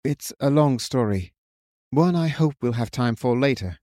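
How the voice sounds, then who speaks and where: A man reading an audiobook, voicing an earnest young warrior son speaking gently to his father. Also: medium pitch, animated, normal speed, dry recording, clean studio sound, pitched above the performer's own voice, close to an everyday voice. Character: young male warrior returning home, earnest. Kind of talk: audiobook character voice.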